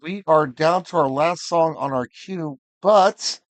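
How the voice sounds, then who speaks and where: A man's voice: fairly high pitch, slow pace, no room sound. A man hosting an online music session in a casual, hesitant, apologetic way. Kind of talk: casual live chat.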